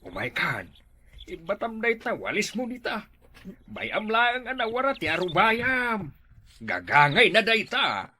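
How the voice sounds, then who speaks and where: Older busybody neighbor for village comedy male actor: husky, bright-edged voice, exclamatory and meddling. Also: sits high, wildly swooping pitch, unhurried, full character voice. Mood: grumbling. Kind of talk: Ilocano barangay comedy drama acting.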